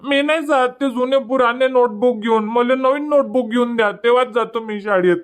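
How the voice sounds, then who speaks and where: A man's voice: extremely high pitch, clear, resonant tone. A man voicing a stubborn, whining little schoolboy in a cartoon. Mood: sulky. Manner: sulky, demanding, slow whining. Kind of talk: cartoon dubbing.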